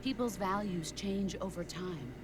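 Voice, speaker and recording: An actress playing a composed, stern female military mentor in a video game, speaking in a measured, instructive way. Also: mid-range voice, over music, close to an everyday voice. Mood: grave, philosophical.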